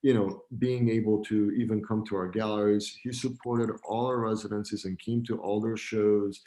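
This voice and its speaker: A man from Romania, talking as a guest in a relaxed, friendly, joking way over a video call. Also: on the low side, normal speed, low-quality recording. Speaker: guest, gallery founder. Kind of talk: casual video-call interview.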